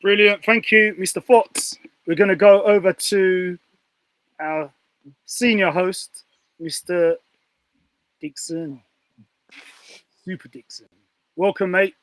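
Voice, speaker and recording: A man's voice: high voice, steady tone, slow, long pauses, a bit bright, dry recording. A man hosting a panel talk show in a chatty style. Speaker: presenter and host.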